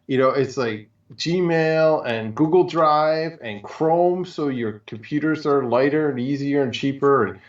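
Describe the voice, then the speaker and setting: A man's voice: mid-range voice, a little slow, hardly any pauses. A man co-hosting a casual chat, talking in a rambling, colloquial way and piling up examples. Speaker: show co-host. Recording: muffled, echoey, distant from mic.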